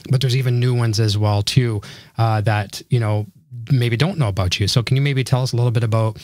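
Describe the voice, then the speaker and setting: A middle-aged man with a slightly hoarse voice, hosting a casual conversational interview. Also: mid-range voice, fairly even intonation, fairly quick, darkish tone, dry recording, studio quality. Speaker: show host interviewing a guest.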